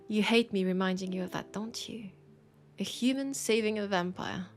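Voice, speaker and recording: A teasing bookshop keeper, acted by a woman with a French accent, speaks softly and intimately at a medium pitch. She is warm and playful, often dropping toward a whisper, in a first-person audio roleplay with faint music behind her. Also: measured pace, natural voice. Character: teasing, warm young-sounding human bookshop keeper, chatting to vampire.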